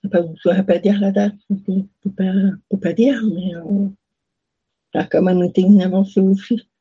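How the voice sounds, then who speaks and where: Young woman, hesitant and breathy. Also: medium pitch, steady tone, moderate pace, mellow, dull audio. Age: young adult. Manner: hesitant, many fillers and restarts. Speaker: interview guest. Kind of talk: casual interview answers.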